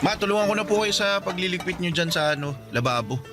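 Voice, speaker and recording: A man's voice: sits high, expressive, quick pace. A man acting as a respectful, earnest teenage son in a radio drama. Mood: helpful, polite. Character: humble, dutiful teenage son fresh out of high school. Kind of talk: Tagalog radio drama dialogue. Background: background music.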